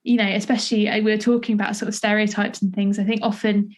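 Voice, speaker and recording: A woman's voice: mid-range voice, flat delivery, quick pace. Young woman, southern English, podcast interviewer, mid-range voice, informal and searching in her phrasing. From Essex, England. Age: 20s. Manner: hesitant, searching phrasing with many hedges. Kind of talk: casual interview chat.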